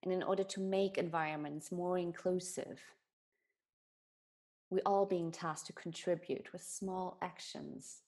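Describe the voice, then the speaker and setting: A woman's voice: fairly low pitch, fairly even intonation, unhurried, a little mellow. A woman from Germany giving an earnest, explanatory talk on bias and inclusion. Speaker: speaker on diversity and inclusion.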